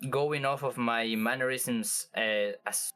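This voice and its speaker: A native Spanish speaker with a Spanish accent, a guest in a casual interview, often pausing and restarting to find English words. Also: medium pitch, steady tone, a bit bright, smooth, faint music behind. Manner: searching for words, halting restarts. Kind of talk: casual chat.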